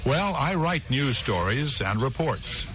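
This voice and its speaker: A man acting a friendly news reporter in a scripted lesson dialogue, speaking clearly and patiently. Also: mid-range voice, sing-song, takes it slowly.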